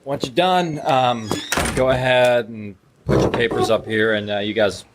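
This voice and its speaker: Mild college instructor, played by a man, medium pitch, stammering and placating, noisy audio drama scene. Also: fairly even intonation, measured pace, natural voice. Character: hesitant, mild male college instructor keeping order.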